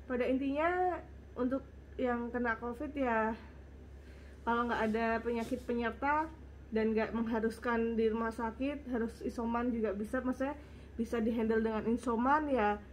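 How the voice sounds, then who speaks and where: A young woman talks casually through viewer questions in a stuffy, congested voice. Her voice sits fairly high and she sounds slightly out of breath. Faint household ambience hums under her. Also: normal speed, a little hiss or hum, poor audio. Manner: chatty, reads questions out. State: congested, nasal voice; short of breath. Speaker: vlogger. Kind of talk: casual Q and A chat.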